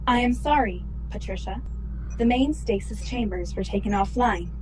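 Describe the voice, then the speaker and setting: Woman acting as a calm, formal ship computer. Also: mid-range voice, slight background noise, close to an everyday voice, poor audio. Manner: measured, formal, precise. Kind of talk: sci-fi radio play acting.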